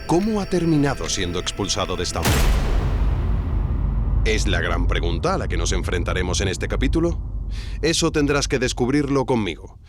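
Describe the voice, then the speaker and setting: Man, lowish voice, lively intonation, wry scripted narration, speaks to the listener, music underneath. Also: normal speed. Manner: wry, addresses the listener directly. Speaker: narrator and host. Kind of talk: scripted documentary-style narration.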